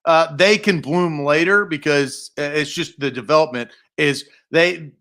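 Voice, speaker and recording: A man's voice: a little high, measured pace, a bit bright. A man in his thirties co-hosting a sports talk show, bantering in a playful, self-deprecating way. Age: 30s. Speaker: sports radio co-host.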